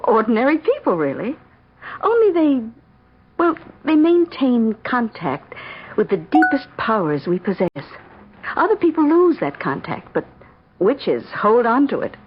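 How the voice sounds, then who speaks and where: An actress playing a calm, affectionate middle-aged witch in a 1970s radio drama, with gentle, well-modulated delivery. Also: medium pitch, husky. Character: calm, mature, affectionate woman who is secretly a witch. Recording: dull old radio broadcast.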